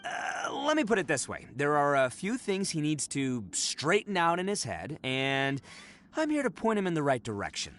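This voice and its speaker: A cocky young fighter. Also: male voice, a little high, huge pitch swings, moderate pace, fairly bright tone. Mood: breezy. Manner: breezy, teasing. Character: cocky young male fighter, protective older brother. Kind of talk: video game voice acting.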